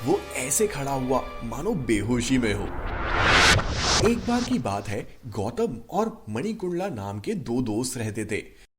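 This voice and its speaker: A man narrating a scripted animated short story as its storyteller. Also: mid-range voice, takes it slowly, loud music behind the voice.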